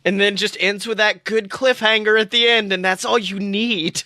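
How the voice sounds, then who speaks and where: A woman co-hosting a podcast, bantering casually about a TV show with excitable, dramatic emphasis. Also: medium pitch, normal speed, no room sound, studio quality. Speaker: podcast co-host.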